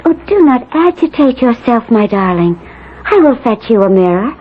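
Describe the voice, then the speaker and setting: A woman's voice: a little high, sing-song, normal speed, highs cut off. A woman playing a devoted, anxious young noblewoman in a 1970s radio melodrama, speaking tenderly with formal diction. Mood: soothing, concerned. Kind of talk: 1970s radio drama acting, romantic period melodrama. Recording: thin band-limited old radio, faint noise.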